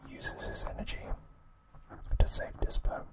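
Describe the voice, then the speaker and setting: A man hosting an ASMR recap, speaking in a soft, breathy, unvoiced whisper with a gentle delivery. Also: a little slow. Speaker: ASMR host.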